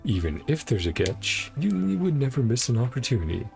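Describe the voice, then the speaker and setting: A man's voice: medium pitch, very animated, fairly quick, creaky, darkish tone. A man casually commentating a video game playthrough, reading bits of on-screen text aloud in clipped fragments over music.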